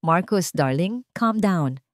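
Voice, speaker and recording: A woman's voice: fairly low pitch, animated, slow pace, no room sound, clean studio sound. Woman playing a sugary, scheming female rival. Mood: falsely soothing. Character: scheming rich female rival, falsely concerned.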